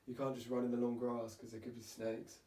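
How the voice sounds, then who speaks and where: A man's voice: medium pitch, completely flat delivery, brisk, mellow. A man hosting a podcast in casual chat, chatty and jokey, asking lots of questions. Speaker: podcast host. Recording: roomy, distant mic with faint hiss.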